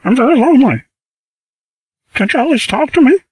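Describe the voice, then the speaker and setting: A man playing a husky, reedy old codger. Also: extremely high pitch, lively intonation, fairly quick, dry recording, clean studio sound. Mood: rambling. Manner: rambling, plaintive, feigning confusion. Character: lonely bald old man caller. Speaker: scambaiter.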